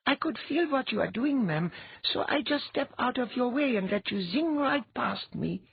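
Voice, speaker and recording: A husky-voiced audiobook reader voicing an eager, curious boy with a blunt, matter-of-fact way of talking. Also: mid-range voice, lively intonation, normal speed, no room sound, narrow, tinny audio, pitched above the performer's own voice, full character voice. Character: eager, curious boy who reads minds.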